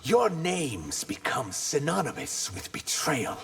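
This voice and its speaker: An actor playing an earnest, guarded reptilian shapeshifter warrior in human form, trading emphatic banter in a video game. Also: male voice, a little high, big pitch swings, takes it slowly, creaky, fairly bright tone, husky, heavily acted. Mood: earnest, wounded. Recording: long reverb, faint background noise.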